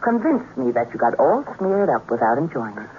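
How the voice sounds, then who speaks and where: An American woman acting as a sharp-tongued, jealous young sweetheart in a 1950s radio drama, sarcastic and challenging. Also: extremely low pitch, very animated, fairly quick. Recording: muffled, noisy 1950s radio recording.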